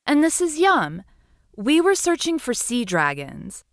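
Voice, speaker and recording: A woman's voice: sits high, very animated, a little slow, no room sound, clean studio sound, voice pushed up, exaggerated voice. A woman voicing a small, young female seahorse, earnest, polite and eager, in a children's storybook reading.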